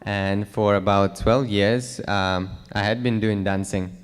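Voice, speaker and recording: Young man, low resonant voice, earnest and halting, heard in a reverberant hall. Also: steady tone, clear, resonant tone. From Russia. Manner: halting, many fillers, sincere. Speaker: guest, new monk. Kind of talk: earnest personal account.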